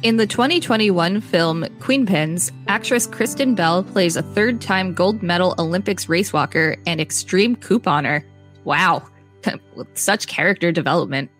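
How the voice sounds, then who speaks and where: Female podcast co-host, lowish register, reads reference text with offhand asides, faint music underneath. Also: unhurried, fairly bright tone. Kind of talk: reading an encyclopedia article aloud with casual asides.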